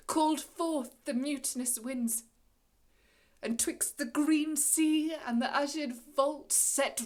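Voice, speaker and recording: A woman acting an aging, commanding sorcerer in a classical Shakespearean stage monologue, with measured, dramatic delivery and long pauses. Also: fairly high pitch.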